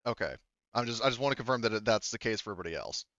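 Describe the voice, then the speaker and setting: Male game master, deep-leaning and clean-toned, informal and profane, on a slightly dull voice chat. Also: animated, racing, fairly bright tone, dry recording. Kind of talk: casual chat running an online tabletop game.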